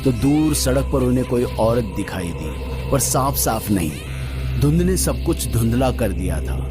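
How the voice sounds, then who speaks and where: A man with a mid-pitched voice narrates a scripted horror story. His delivery is dramatic and suspenseful, with drawn-out phrases. Music plays clearly behind him.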